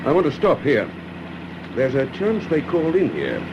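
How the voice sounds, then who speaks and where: A careful, courteous detective in a radio drama. His pitch is medium but swings widely as he questions. Faint noise sits behind a slightly muffled recording. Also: wildly swooping pitch. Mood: weary, matter-of-fact. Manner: polite, measured questioning. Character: courteous, cautious male police detective.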